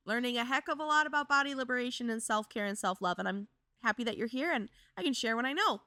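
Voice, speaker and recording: A woman's voice: on the high side, lively intonation, quick pace, bright, forceful tone, no echo. A woman in her thirties from Wisconsin hosting a podcast, a bit froggy and congested from a cold, chatty and upbeat as she reads stories aloud and adds asides. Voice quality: slightly froggy. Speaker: podcast host and boudoir photographer. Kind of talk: casual podcast chat, reading stories aloud.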